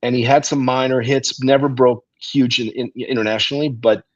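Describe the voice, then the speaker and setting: A middle-aged man, born in Russia and raised in Cleveland, speaking as a guest musician in a relaxed, storytelling way with frequent ums. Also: mid-range voice, fairly quick, dry recording, muffled recording. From Russia, raised in Cleveland, Ohio. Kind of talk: casual interview talk. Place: restaurant.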